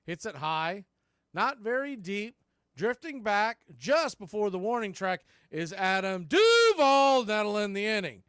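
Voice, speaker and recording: A man's voice: high voice, sing-song, a little slow, a bit bright, clean tone, no room sound, clean studio sound. An American man calling live baseball play-by-play for radio, in clipped phrases with conversational asides.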